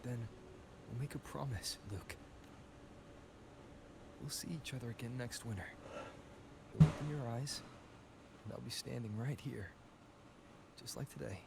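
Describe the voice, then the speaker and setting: A man voicing an earnest, troubled young hero in a video game story, with a hesitant, soft-spoken delivery. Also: mid-range voice, measured pace, creaky, long pauses. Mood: earnest hope. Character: troubled, self-doubting young male hero, earnest and caring. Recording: loud background noise and ambience. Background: loud ambient noise.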